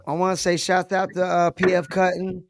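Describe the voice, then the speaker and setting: A man's voice: high-pitched, monotone, normal speed, vocal fry. A man hosting a podcast who says he is losing his voice, wrapping up the show and passing turns to others. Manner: wraps up the show and hands out turns. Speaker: host.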